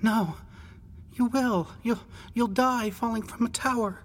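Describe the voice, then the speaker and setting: A man voicing a feverish, frightened adolescent boy in a storybook, with a tremulous, halting, pleading delivery. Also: very high-pitched, voice pushed up. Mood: frightened, insistent. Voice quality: tremulous, thickened with tears. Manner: halting, pleading, stammered repetitions. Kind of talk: audio storybook character voice. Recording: long hall reverb, background noise.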